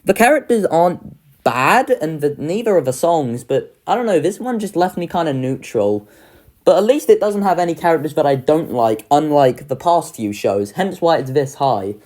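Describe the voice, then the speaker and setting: A woman's voice: deep voice, expressive, normal speed, a little mellow. A woman giving casual, opinionated video commentary as a host, informal and sardonic in manner. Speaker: video host ranking children's shows.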